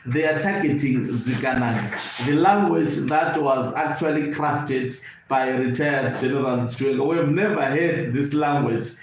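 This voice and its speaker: A man with a Zimbabwean accent gives live political commentary as an online host, phrasing deliberately and repeating words for emphasis. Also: medium pitch. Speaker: online news channel host and political analyst. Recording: very echoey room, faint background noise.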